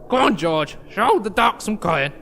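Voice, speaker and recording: A man's voice: sits high, vocal fry, a little hiss or hum, heavily acted. A man voicing a foul-mouthed, short-tempered, bossy adventurer in a comedic fantasy audio drama, ranting and sarcastic. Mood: impatient. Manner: crude, ranting, sarcastic, swinging into shouts.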